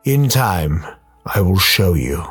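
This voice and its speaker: A man voicing a sinister spirit who coaxes with slow, courtly, silky menace, as in a radio play. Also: low-pitched, sing-song, a bit bright, breathy edge, soft background music, exaggerated voice. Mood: sinister, coaxing. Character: sinister, coaxing male spirit voice, patient corruptor.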